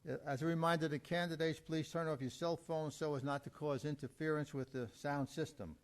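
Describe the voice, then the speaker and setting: A man's voice: on the high side, steady tone, fairly quick. A man acting as moderator at a candidate forum, making formal introductions and explaining procedure.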